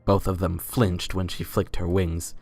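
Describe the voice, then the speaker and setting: Low-pitched male story narrator over music. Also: level tone, unhurried, vocal fry, a little mellow. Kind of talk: scripted story narration, dramatic reading. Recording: music under the voice. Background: background music.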